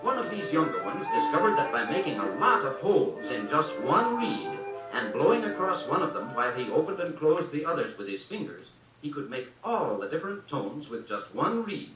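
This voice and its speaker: A man narrating a scripted children's story in a dramatic, deliberate way, on an old 78 rpm record. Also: on the high side, sing-song, roomy sound, distant mic, poor audio. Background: orchestral music.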